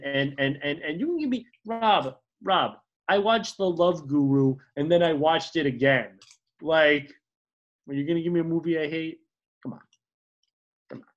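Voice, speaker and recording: A man co-hosting a movie podcast in casual chat, excitable and playful, often restarting his sentences. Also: a little high, dull audio. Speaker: podcast co-host.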